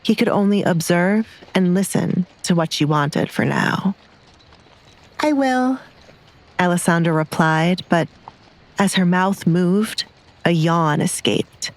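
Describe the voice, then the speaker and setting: A woman's voice: on the low side, fairly even intonation, a little slow. A woman narrator reading scripted romance fiction as a storyteller.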